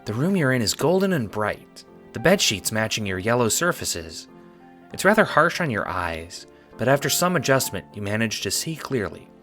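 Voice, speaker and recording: A man's voice: mid-range voice, very animated, moderate pace, a bit bright, faint music behind. A man reading scripted second-person narration for an audio drama.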